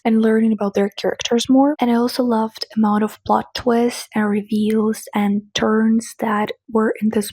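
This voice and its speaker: A woman's voice: a little high, a little mellow, breathy edge, dry recording. A young woman, for whom English is a third language, chatting casually and gushingly about books in a reading vlog. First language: not English; English is her third language. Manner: chatty, gushing, restarts often. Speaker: book vlogger.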